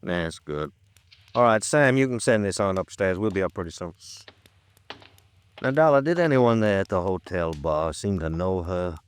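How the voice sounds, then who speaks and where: An actor playing a skeptical, plain-spoken police lieutenant in an old-time radio noir drama, with an American accent and a folksy, probing way of questioning. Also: male voice, low-pitched, lively intonation, moderate pace, smooth. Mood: weary, businesslike. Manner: folksy, probing, drops words and endings. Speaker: police detective questioning a witness.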